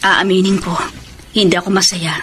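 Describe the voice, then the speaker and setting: An actress playing a hurt, devoted middle-aged wife in a Filipino radio drama, with an earnest, plaintive delivery. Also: female voice, mid-range voice, little pitch movement, fairly quick, background noise, natural voice. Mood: sad, devoted.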